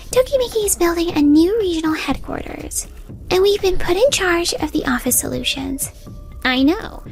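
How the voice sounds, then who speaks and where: A woman plays a stern female secretary in a manga dub, high and lively in pitch. She is sharp-tongued and emphatic, scolding in a businesslike way. Light music plays underneath. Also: gravelly, heavily acted. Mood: insistent. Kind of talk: expressive manga dub voice acting.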